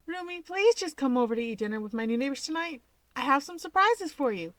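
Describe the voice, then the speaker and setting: A woman's voice: sits high, lively intonation, brisk, fairly bright tone, voice pushed up. A woman voicing a harried, resigned male hero who pleads in a wry, emphatic and exasperated way. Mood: pleading.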